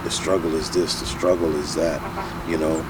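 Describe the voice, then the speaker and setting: A man's voice: extremely low pitch, level tone, normal speed. A man from the United States, a security professional, speaking emphatically and repeating points for stress as an interview guest. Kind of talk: casual conversational interview. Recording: distant mic with loud street and car noise.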